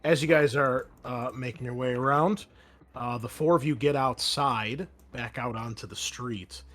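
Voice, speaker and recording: A man acting as game master, narrating a tabletop game and explaining rules casually in a halting, hesitant way. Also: mid-range voice, a bit bright.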